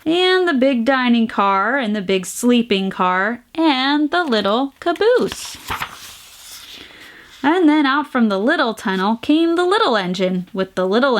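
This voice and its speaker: A woman hosting a children's storytime, reading aloud in an animated, sing-song way with exaggerated emphasis and playful sound effects. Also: sits high, slight background noise. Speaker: storytime host reading a picture book aloud.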